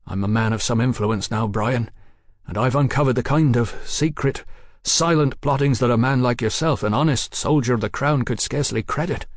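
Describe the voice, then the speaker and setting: A man voicing a forceful, resolute gentleman scholar in an audiobook, with formal, measured and emphatic speech. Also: medium pitch, no echo, studio quality, close to an everyday voice. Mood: measured, intent. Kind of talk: audiobook character acting.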